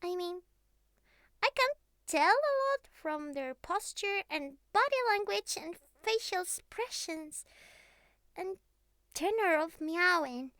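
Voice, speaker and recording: Very high-voiced, naive young catgirl. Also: animated, slow, pushed and bright, dry recording, heavily acted. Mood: hurt, confused. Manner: childlike, hesitant, pleading, slightly formal phrasing. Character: young catgirl, former house cat, naive, clingy, earnest. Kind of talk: intimate ASMR roleplay monologue.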